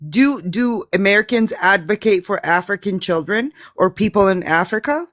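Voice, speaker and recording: A woman's voice: mid-range voice, animated, moderate pace, dry recording. Blunt, confrontational woman, dry sound. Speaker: live stream guest. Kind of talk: heated live debate.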